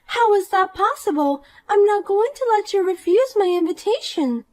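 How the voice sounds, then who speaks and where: Woman playing a bratty queen-bee type, high voice that swoops all over, bossy and condescending. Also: animated, moderate pace, a little mellow. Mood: taunting. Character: vain spiteful young woman, former school queen bee. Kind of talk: animated story dialogue.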